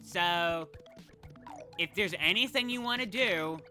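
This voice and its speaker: A man's voice: high-pitched, slow, sharp tone. A man casually commentating his gameplay in a chatty, rambling way, often correcting himself mid-sentence. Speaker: let's play gamer. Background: game music.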